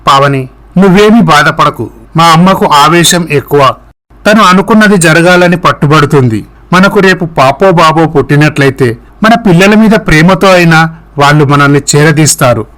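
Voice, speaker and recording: An earnest young man in love voiced by a male storyteller, pleading and reassuring in a moral tale. His voice is slightly high for a man. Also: moderate pace, a bit bright, lightly acted, rough recording.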